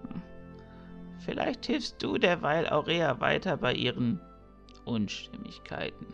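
A man playing a cheerful, kindly elderly village priest in an audio drama, chatty and musing, with a creaky voice. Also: on the high side, big pitch swings, a bit bright, dull audio, soft background music, full character voice. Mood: pleased, musing. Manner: jovial, chatty, drawn-out musing.

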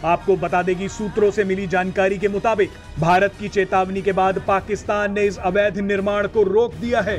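A man's voice: high-pitched, flat delivery, normal speed, hardly any pauses, over music. A man reading a scripted television news report as a narrator.

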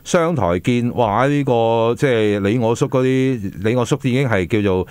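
A man's voice: medium pitch, normal speed, smooth, dry recording. A man co-hosting a casual chat show in a small radio studio, speaking with a Hong Kong Cantonese accent. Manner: animated, acts out radio drama lines in a squealing voice. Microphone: boom mic on a stand.

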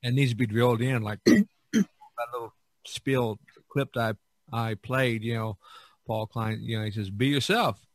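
A man hosting a casual podcast chat, talking haltingly and often restarting his phrases. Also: medium pitch, fairly even intonation, no echo. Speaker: podcast host.

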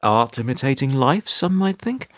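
A man's voice: medium pitch, sing-song, normal speed, close to an everyday voice. A British man playing a weary middle-aged narrator in a radio play, dry, hesitant and sardonic. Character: wry, weary older male narrator, reluctant bystander.

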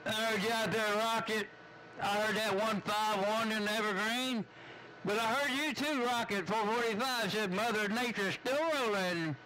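A man's voice: high voice, normal speed, pushed and bright, a little hiss or hum, rough recording. A man chatting casually over CB radio in a folksy, teasing, slangy way. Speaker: CB radio operator.

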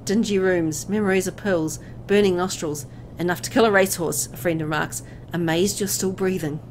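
A woman reading a horror visual novel aloud as dramatic, expressive narration, as host of a gameplay video. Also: on the low side, moderate pace, slight background noise, poor audio.

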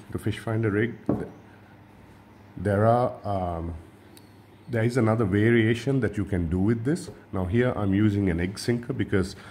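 A man from Sri Lanka, a fishing channel host, giving a relaxed hands-on tutorial at a table and often repeating and restarting his words. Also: lowish voice, slight background noise.

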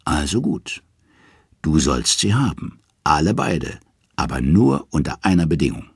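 A man performs a malicious goddess of the dead for an audio storybook. She is imperious and gloating, with a low, gravelly voice recorded very dry. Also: very animated, measured pace, no room sound, heavily acted. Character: haughty, malicious queen of the underworld.

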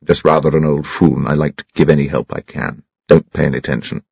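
A man's voice: a bass voice, level tone, fast talker, vocal fry, a little mellow, husky, dry recording, lo-fi sound, heavily acted. A man voicing a pompous middle-aged baronet and former soldier, stiff and disapproving. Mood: stuffy.

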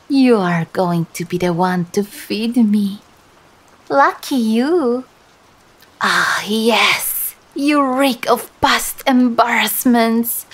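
A woman's voice: fairly high pitch, exaggerated voice. A woman playing a seductive demon who feeds on embarrassment, speaking in a teasing, theatrical way in ASMR roleplay style. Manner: teasing, theatrical, slow and drawn-out.

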